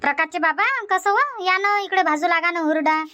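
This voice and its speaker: A high, bright-voiced village housewife, voiced by a woman in a cartoon comedy. She scolds and grumbles in fast village banter. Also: faint music behind. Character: nagging village housewife Shanta, chatty and sharp-tongued.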